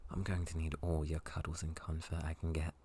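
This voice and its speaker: A man's voice: very deep voice, completely flat delivery, brisk, darkish tone, slight background noise. A man playing a skittish, clingy young guy who whimpers and stammers affectionately in an intimate ASMR roleplay. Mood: nervous, playful. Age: young adult. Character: skittish, clingy young male best friend, affectionate scaredy-cat.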